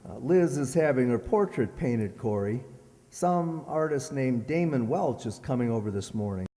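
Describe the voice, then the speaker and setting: An amateur actor playing a jealous, dismissive, wry husband in an old-time radio comedy. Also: male voice, medium pitch, expressive, moderate pace, warm tone, lightly acted. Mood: skeptical. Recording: echoey hall with long reverb.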